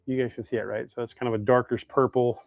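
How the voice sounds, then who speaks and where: A man's voice: medium pitch, level tone, quick pace, creaky, no room sound, lo-fi sound. Folksy, easygoing American man. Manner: folksy, easygoing, thinks aloud while working. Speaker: host of a fishing lure making livestream. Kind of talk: casual live demonstration with chat to viewers.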